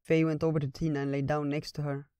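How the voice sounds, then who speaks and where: A woman storyteller reading a fairytale as scripted, gentle narration. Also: sits low, little pitch movement, fast, smooth, no echo, studio quality.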